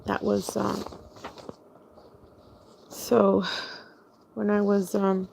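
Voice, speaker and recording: A woman's voice: mid-range voice, completely flat delivery, drawn-out words, creaky, darkish tone, a little hiss or hum, poor audio. A woman telling her own story in a casual, rambling monologue, hesitating often, restarting sentences and spelling out words letter by letter.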